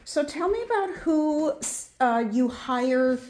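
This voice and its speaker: A woman's voice: sits high, animated, slow pace, a little mellow, slight background noise. A middle-aged woman, a nurse, explaining in a friendly way and asking practical questions as she tours a care home. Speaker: nurse host. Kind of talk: informative vlog narration and tour questions.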